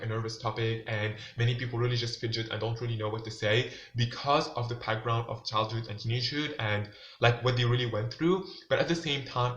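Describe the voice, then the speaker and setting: A young man, speaking in a mid-pitched voice and rambling loosely, full of "like" and "you know." The sound is roomy and a bit dull, as if recorded lo-fi in an echoey room. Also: a bit bright, a little hiss or hum. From Tunisia. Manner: loose, filler-heavy talk, "like" and "you know what I'm saying" everywhere. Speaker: vlogger. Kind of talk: casual monologue to camera.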